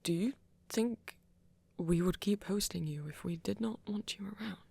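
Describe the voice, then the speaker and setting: A woman playing a flirty, courtly vampire in an intimate audio roleplay, soft and fond with slightly formal phrasing. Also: medium pitch, moderate pace, gravelly, breathy edge, no echo. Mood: fond, bashful.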